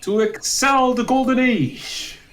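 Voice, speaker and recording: A man playing a kindly, talkative, dignified old counsellor in a Shakespeare audio drama, with a deliberate, declamatory delivery. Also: very high-pitched, a bit bright, exaggerated voice. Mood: visionary, expansive.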